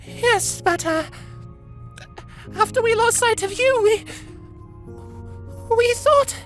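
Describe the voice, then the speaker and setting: A woman's voice: extremely high pitch, slow, faint music behind, pitched above the performer's own voice. A woman voicing a timid, worried young pony filly in a hesitant, faltering manner for a story. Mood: distressed.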